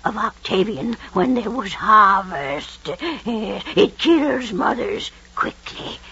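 A dull old radio recording carries a sinister witch. The voice is gravelly and sharp, drawn out very slowly, with a gleeful, cackling, incantatory delivery. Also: medium pitch, lively intonation, exaggerated voice. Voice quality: creaky, raspy. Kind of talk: old-time radio drama acting.

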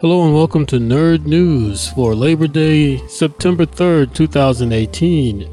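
Slightly high-voiced male host over soft music. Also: unhurried. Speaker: podcast host. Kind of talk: scripted comics news segment. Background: faint music.

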